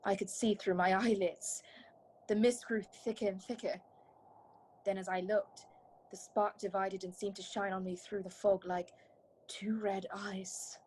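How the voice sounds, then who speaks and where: An actress playing a haunted young wife under a vampire's spell in a radio play, measured and trance-like. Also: female voice, medium pitch, flat delivery, darkish tone, slight background noise, close to an everyday voice, low-quality recording. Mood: uneasy, eerie.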